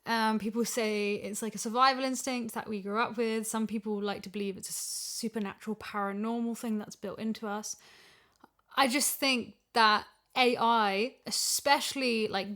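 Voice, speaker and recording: A woman in a casual discussion, explaining her opinions in an animated way. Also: on the high side, moderate pace. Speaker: podcast guest, audio drama voice actor.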